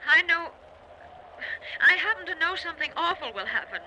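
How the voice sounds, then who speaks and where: Worried wife begging, halting, high sharp voice swinging up and down, old radio show. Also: female voice, big pitch swings, heavily acted. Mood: anxious, pleading. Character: anxious wife pleading with her husband to flee danger. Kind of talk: old-time radio drama acting. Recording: noisy old radio recording.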